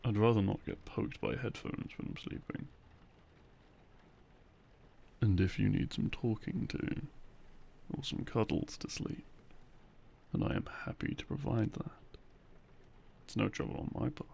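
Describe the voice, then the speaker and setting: A man playing a loving, gently teasing boyfriend in an intimate ASMR roleplay, speaking softly and close to a binaural microphone. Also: fairly low pitch, normal speed, gravelly, breathy edge, heavily acted. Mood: warm, caring. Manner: soft, hushed, close and coaxing. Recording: close binaural recording, faint noise.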